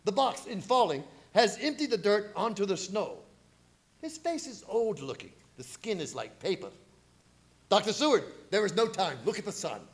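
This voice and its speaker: Guy acting as intense professor vampire hunter in a radio drama, highish voice swinging all over, bossy and theatrical. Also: big pitch swings, moderate pace, breathy edge, exaggerated voice. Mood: urgent command. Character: urgent, commanding male vampire-hunting professor. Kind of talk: radio play horror acting.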